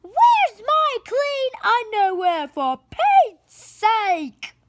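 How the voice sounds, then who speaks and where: Storybook dad, played by a woman: loud and exasperated, pushed very high, shouted in drawn-out exclamations. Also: wildly swooping pitch, full character voice. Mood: exasperated bellowing. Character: loud, bellowing, exasperated father of a noisy family. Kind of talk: children's picture book read-aloud.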